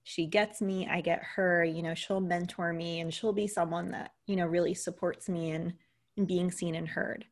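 A woman's voice: on the low side, steady tone, normal speed. A young American woman, a leadership coach, telling her story earnestly in a casual interview, with fillers along the way. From United States.

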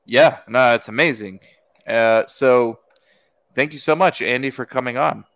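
A man hosting a podcast interview, talking in an energetic, informal way. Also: mid-range voice, a little slow, no room sound. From United States. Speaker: podcast host. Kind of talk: casual interview chat.

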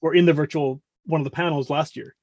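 A man's voice: on the high side, fairly even intonation, fast talker, a little mellow, no room sound. A middle-aged man chatting casually as a podcast guest, talkative and often interrupting himself as he explains. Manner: talkative, rambling, self-interrupting. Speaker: podcast guest, music podcast host.

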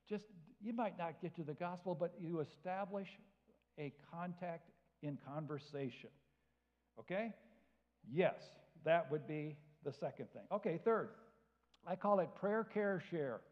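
High voiced guy preaching, acts out convos, long echo, kinda muffled. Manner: animated, acts out both sides of conversations. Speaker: guest speaker. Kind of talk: sermon with acted-out anecdotes. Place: church hall. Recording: dull sound in a reverberant hall.

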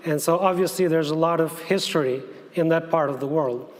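Male guest missionary speaker, Albanian accent, slightly high voice, halting delivery, recorded in a reverberant hall. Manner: halting, with frequent repeated words. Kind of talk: missions presentation to a church conference. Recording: echoey large hall, long reverb.

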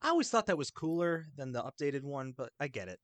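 A man's voice: medium pitch, very animated, fast talker, fairly bright tone, no echo. A man chatting as a podcast guest, explaining things in a loose, hesitant way that often trails off. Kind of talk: casual podcast chat.

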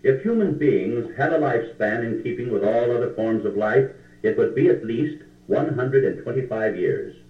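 A man's voice: mid-range voice, expressive, normal speed, hardly any pauses, far from the mic. A man narrating a scripted self-help tape in an emphatic, declamatory manner. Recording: tinny, echoey vintage cassette tape.

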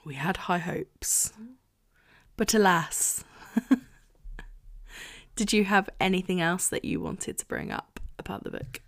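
Co-host: woman, lower pitch, relaxed and chatty, quick to laugh. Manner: chatty, laughs often, reads blurbs aloud. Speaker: podcast co-host. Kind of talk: casual book discussion.

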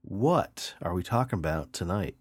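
A man in his thirties co-hosting a podcast, a little nasal from a sinus infection, telling animated stories with swearing and laughter. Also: low voice, normal speed, breathy edge. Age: 30s. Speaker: podcast co-host. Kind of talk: casual chat.

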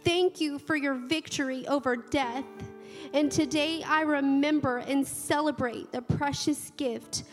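A woman leading a church service, reading scripture and a communion prayer in a slow, measured way. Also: high voice, fairly even intonation. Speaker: communion leader. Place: large church hall. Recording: church hall reverb with soft music.

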